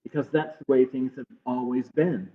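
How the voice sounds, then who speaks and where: A man's voice: medium pitch, fairly even intonation, moderate pace, natural voice. Phone-like audio, man reading as firm storybook mother. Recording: muffled phone-like streaming audio.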